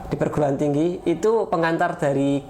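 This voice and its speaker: Man, Indonesian accent, casual interviewer, warm mellow timbre, rephrases questions, noisy background. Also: a little high, moderate pace. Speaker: podcast host. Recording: background noise.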